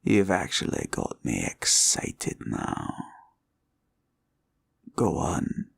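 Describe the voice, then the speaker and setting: A man playing a scheming, condescending male green dragon villain in a roleplay ASMR audio, with a gravelly, husky voice and a slow, probing drawl. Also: low voice, sing-song, exaggerated voice. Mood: pleased, sly.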